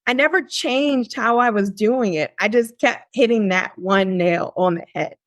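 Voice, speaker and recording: Animated woman telling a story, dry sound. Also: on the high side, measured pace, dry recording. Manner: animated, lively quoting of her younger self; frequent "like" fillers and restarts. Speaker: podcast guest. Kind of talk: conversational storytelling.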